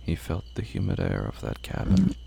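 A narrator reading scripted horror fiction in short, deliberate phrases. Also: sits low, huge pitch swings, gravelly. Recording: faint background noise.

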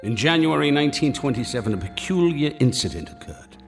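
An actor playing a steady, fatherly family doctor who narrates a radio drama in a measured, formal way. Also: medium pitch. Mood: grave, measured. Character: steady, fatherly male family doctor recounting strange events. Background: prominent music.

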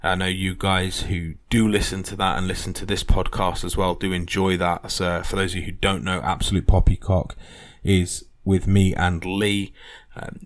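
A man hosting a history podcast, talking casually and earnestly with plenty of fillers and restarts. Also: deep voice. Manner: rambling, earnest, many fillers.

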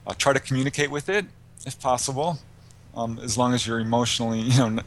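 A man speaks in a medium-pitched voice as a guest in an interview. He answers conversationally, explaining and telling accounts in an informal way. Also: fairly even intonation, fast, a little hiss or hum. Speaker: guest, UFO researcher.

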